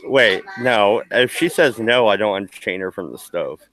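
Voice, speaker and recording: A man's voice: on the low side, animated, a little slow, a bit bright, a little hiss or hum. A man co-hosting a casual online stream, ranting bluntly and emphatically into a headset mic. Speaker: stream co-host. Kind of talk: casual profane banter. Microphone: headphones with mic.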